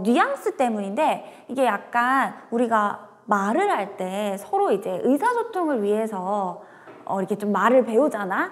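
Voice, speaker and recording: High, lively woman teaching grammar. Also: in a large hall. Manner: addresses students directly, shifts from casual to polite speech. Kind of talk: online grammar lecture.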